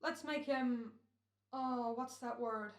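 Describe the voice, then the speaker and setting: A woman playing a brisk, fussy male radio director in an old-time drama, bossy and thinking aloud. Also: fairly high pitch. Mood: businesslike, fussy. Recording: echoing room, home recording.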